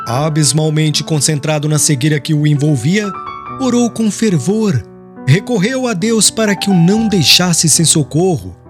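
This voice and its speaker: A man narrating a literary audiobook in a solemn, expressive, scripted manner. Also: on the high side. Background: music.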